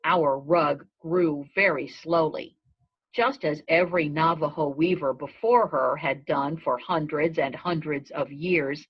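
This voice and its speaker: A woman narrates a children's storybook at a very unhurried pace. Her voice is rough-edged and husky, with deliberate phrasing. The recording sounds dull and lo-fi. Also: low voice. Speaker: storybook reader. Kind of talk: read-aloud narration of a children's story in a goat's first person.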